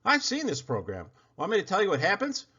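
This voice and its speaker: Male reader as chatty female dog, tactless, rambling, storybook character, higher lively pitch, bright tone. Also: brisk, breathy edge, highs cut off, exaggerated voice. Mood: blunt, chatty. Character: chatty, blunt female talking pet dog. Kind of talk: children's storybook read-aloud.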